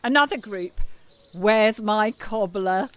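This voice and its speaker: A woman's voice: mid-range voice, slow pace, noisy background, natural voice. A woman playing a charming, bold French cabaret star in an audio drama, with a teasing, grandly gracious manner. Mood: playful, flirtatious.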